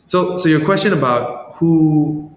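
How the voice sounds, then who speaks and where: A man from Malaysia in his thirties, a startup founder answering interview questions thoughtfully, with many fillers and tag questions. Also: measured pace. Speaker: startup founder and CEO guest. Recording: echoey room with long reverb, faint rumble.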